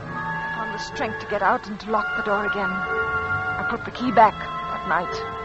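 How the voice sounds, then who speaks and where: An actress playing a frightened, haunted French village wife who tells her story in a hushed, anguished radio-drama style. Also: mid-range voice, fairly even intonation, measured pace, close to an everyday voice. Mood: grim, haunted. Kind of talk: 1940s-50s radio drama acting, confessional narration. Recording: dull old radio recording, music underneath.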